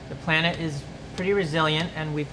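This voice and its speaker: A man speaking casually in an interview, with loose, relaxed phrasing. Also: fairly high pitch, brisk, background noise. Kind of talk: interview statement. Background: loud background music.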